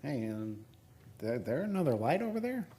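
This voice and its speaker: A man's voice: medium pitch, very animated, moderate pace, warm tone, natural voice. An American man playing a skinny, nervous, sheepish oil field geologist in a radio play, speaking hesitantly with stammers.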